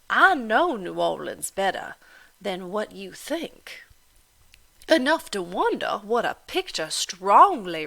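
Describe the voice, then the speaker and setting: A woman doing a loud, taunting landlady. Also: mid-range voice, heavily acted. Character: sneering, coarse woman landlady, scornful and bullying.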